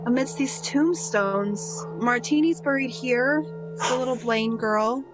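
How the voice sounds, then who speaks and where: A woman playing a gentle, patient older male guardian angel who speaks calmly and solemnly. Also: on the high side, over music, low-quality recording. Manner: calm, measured, solemn.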